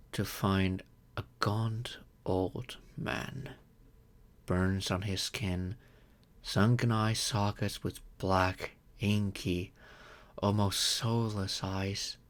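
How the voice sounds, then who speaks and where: Slow, low-voiced gentleman vampire, played by a man. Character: wealthy male vampire, gentle, grateful, courteous and doting. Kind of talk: slow intimate ASMR roleplay monologue.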